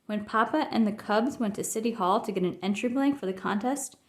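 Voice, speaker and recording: A woman reading a children's picture book aloud as an expressive storyteller. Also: mid-range voice, fairly quick. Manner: expressive, acts out dialogue.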